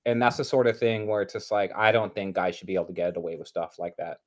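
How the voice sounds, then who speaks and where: A man's voice: deep voice, quick pace. A man co-commentating a basketball stream, chatting casually and explaining plays in a conversational way. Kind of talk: casual chat and game analysis.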